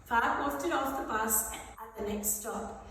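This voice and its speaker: A woman reading a children's story aloud in an expressive manner, acting out the dialogue. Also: a little high, takes it slowly. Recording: very echoey hall, distant mic, faint noise.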